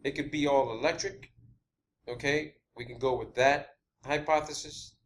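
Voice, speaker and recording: A man hosting an informal theorizing monologue, speaking in a halting, repetitive and emphatic way with blunt wording. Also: mid-range voice, steady tone. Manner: blunt, swearing. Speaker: video host.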